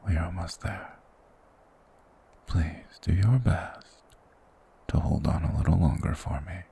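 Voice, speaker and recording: A man voicing a sinister, soothing forest spirit in a fantasy ASMR roleplay, speaking in a hushed, formal, hypnotic and coaxing manner. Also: sits low, measured pace, slight background noise. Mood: soothing, persuasive. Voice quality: gravelly, breathy whisper.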